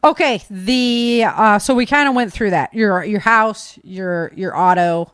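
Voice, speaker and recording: A middle-aged American woman from Michigan chatting informally as a podcast host, telling stories in an animated, slangy way. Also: medium pitch, a little slow, smooth, no echo, clean studio sound. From Michigan, United States. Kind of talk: casual conversational podcast chat.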